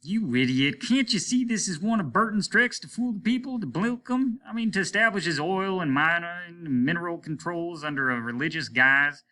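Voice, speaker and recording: A domineering starship captain from a sci-fi storybook, acted out by a male reader. His voice is bright and forceful, pitched up and full of scorn. He issues orders and talks down to those around him. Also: lively intonation, normal speed, few pauses. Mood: exasperated. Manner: loud, commanding, condescending. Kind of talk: dramatic audiobook reading.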